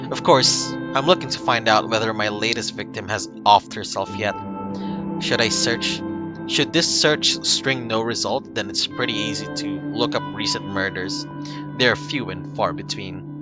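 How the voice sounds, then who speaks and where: A man streaming a game, reading its first-person narration aloud in a calm, unhurried way with small slips and restarts. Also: fairly low pitch. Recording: loud music behind the voice. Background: loud music.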